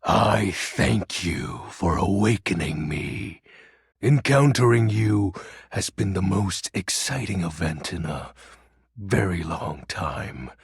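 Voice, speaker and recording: An actor voicing a melodramatic, brooding game character, a personification of darkness who talks in pompous, theatrical, archaic phrases with a gravelly, husky voice. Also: male voice, deep voice, animated, slow pace, no room sound, clean studio sound, full character voice. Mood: gracious, formal. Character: melodramatic brooding Lord of Shadows, personified darkness, secretly dorky. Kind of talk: video game character voice acting.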